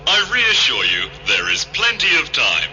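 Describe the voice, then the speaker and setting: An actor voicing an unfailingly polite, evasive hotel computer with formal, obsequious manners in a radio drama. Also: male voice, medium pitch, huge pitch swings, measured pace, pushed and bright, slight background noise, exaggerated voice, rough recording. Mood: soothing, evasive.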